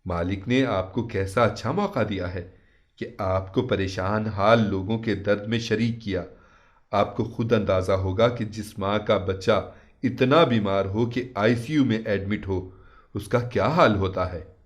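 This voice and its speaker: Male narrator as gentle pious cleric in a moral story, lowered pitch, earnest measured counsel. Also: lightly acted.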